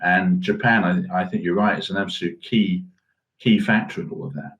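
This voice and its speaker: Low-pitched man, musing and understated. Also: steady tone, moderate pace, fairly bright tone, muffled recording. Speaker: podcast co-host. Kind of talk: casual current affairs discussion.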